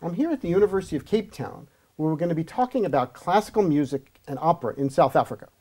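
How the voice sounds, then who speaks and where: A man's voice: on the high side, lively intonation, fast, a little mellow. A middle-aged man hosting a studio interview show, inviting his guests to speak. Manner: friendly, inviting questions. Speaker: host.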